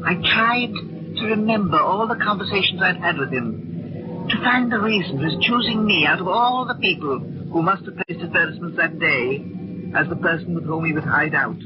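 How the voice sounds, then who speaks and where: A woman's voice: on the low side, animated, moderate pace, noisy background, lightly acted. An actress playing a genteel, anxious older small-town woman in a 1940s radio drama, polite and hesitant. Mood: tense recounting. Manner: polite, hesitant, letter-like storytelling. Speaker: narrator and lead actress. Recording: muffled vintage radio recording with music.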